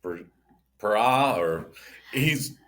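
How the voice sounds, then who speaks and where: A man's voice: lowish voice, lively intonation, fairly bright tone. A man co-hosting an insurance podcast, chatting casually and often restarting his sentences. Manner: halting, many restarts and repeated words. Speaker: insurance agent co-host. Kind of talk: casual conversational chat.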